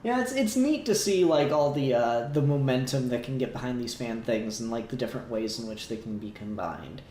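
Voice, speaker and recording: A man's voice: medium pitch, expressive, fairly quick, darkish tone. A man hosting a review show in casual chat, with hesitant, rambling phrasing that repeats words. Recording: roomy sound with faint background noise.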